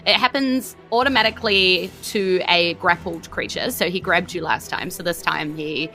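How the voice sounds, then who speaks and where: Bright-voiced New Zealand woman running a game. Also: fairly low pitch, normal speed, soft background music, poor audio. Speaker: game master. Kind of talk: narrating a tabletop game in casual chat.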